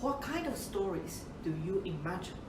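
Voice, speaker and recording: Woman from Taiwan, bassoonist, gentle simple phrasing, noisy background. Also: very animated, moderate pace. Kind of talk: introducing an instrument to children. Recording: roomy, distant, noisy.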